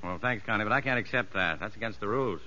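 An actor playing a level-headed, earnest prison doctor who narrates a 1950s radio drama in measured, educated speech. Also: male voice, lowish voice, sharp tone. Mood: friendly, probing. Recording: dull old radio recording, faint music.

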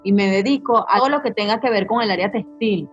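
A woman's voice: mid-range voice, fast talker, soft background music. A woman in her thirties with a Venezuelan accent, a garment maker introducing herself for an interview. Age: 30s. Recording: dull lo-fi voice recording.

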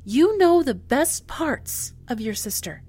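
A woman's voice: high-pitched, very animated, slow, a little mellow, exaggerated voice. An actress in an audio drama playing a chatty, emphatic woman who runs a moon base's inventory and gets easily frustrated. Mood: frank, gentle.

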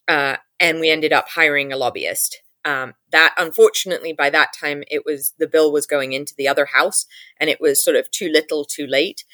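An English woman in her thirties recounts her story in a lively, chatty way, slipping into quoted thoughts as she goes. Her voice sits a little low but has a bright, forward edge. The recording is close and dry, with no room around it. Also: no room sound. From England. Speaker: advocacy group founder. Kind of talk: storytelling in an interview.

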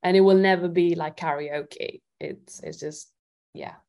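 Woman from Italy, interview guest. Slightly low, gravelly fry, thoughtful and hesitant, casual chat. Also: fairly even intonation, unhurried, dark tone. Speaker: singer-songwriter guest.

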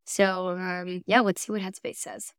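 Clear-voiced woman chatting on a dry mic. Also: fairly low pitch, flat delivery, a little slow, no echo. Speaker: podcast co-host. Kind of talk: casual chat reviewing podcast ads.

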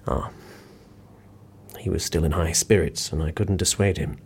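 Low, gravelly man telling an eerie tale. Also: steady tone, a little slow, darkish tone, exaggerated voice. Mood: sombre, hushed. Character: sombre man recounting an eerie old anecdote. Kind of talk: audio drama acting, confiding storytelling.

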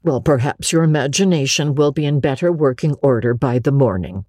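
A woman's voice: rumbling low, fairly quick, dark tone, no echo. A woman voicing a stern, no-nonsense older country woman in a storybook, dry and scolding. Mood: dry, reproving.

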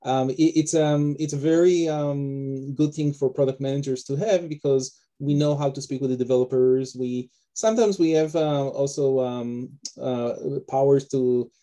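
A man from Israel with an Israeli accent, a guest author talking casually on a video call from his home office, with many pauses and fillers. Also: mid-range voice, warm tone. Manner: hesitant, many fillers and restarts. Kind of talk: casual interview talk.

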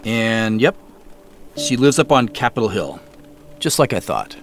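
A man acts an earnest, cautious everyday guy turned sleuth in an audio drama. His pitch moves in a lively way while he stays conversational and measured. Music plays behind him. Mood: earnest, careful. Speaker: lead character and narrator. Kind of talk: scripted audio drama, first-person narration and naturalistic phone dialogue. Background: background music.

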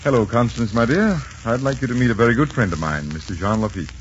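An actor playing a determined, genial older governor in a 1940s radio drama. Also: male voice, mid-range voice, animated, fast talker, close to an everyday voice. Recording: muffled, hissy 1940s radio recording.

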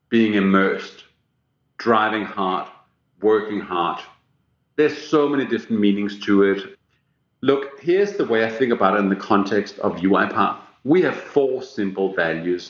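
A Danish-born man speaks as an executive guest, his voice sitting fairly low. He leans into key words with earnest emphasis. The sound comes through an echoey, muffled, lo-fi line. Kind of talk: business podcast interview.

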